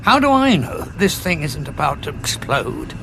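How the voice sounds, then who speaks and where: An embittered old war veteran in a sci-fi radio play, gravelly and raised in pitch. He is indignant and sardonic, with theatrical swoops in his delivery. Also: very animated, normal speed, faint music behind. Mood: sardonic, wary. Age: older. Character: angry, sardonic old male time-travelling war veteran held prisoner. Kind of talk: audio drama acting.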